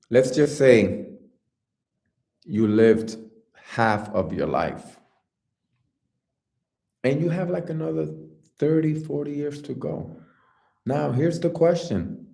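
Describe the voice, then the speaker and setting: Slow, mellow-voiced motivational man. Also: mid-range voice, vocal fry, echoey room. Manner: earnest, encouraging, addresses listeners directly. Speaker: life coach. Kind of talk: motivational talk to camera.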